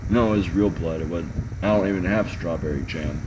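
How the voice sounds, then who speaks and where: Deep-voiced man rambling on a livestream. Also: a little fast. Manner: rambling, joking, many ums and restarts. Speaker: livestream host reading chat. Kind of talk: casual live chat. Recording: clear background hum.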